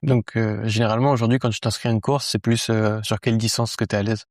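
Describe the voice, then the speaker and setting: A man of middle pitch hosts a podcast interview, talking fast and keeping things light. He asks friendly questions with plenty of small fillers. The sound is dry and close to the mic. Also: fairly even intonation, darkish tone, no room sound. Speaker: podcast host.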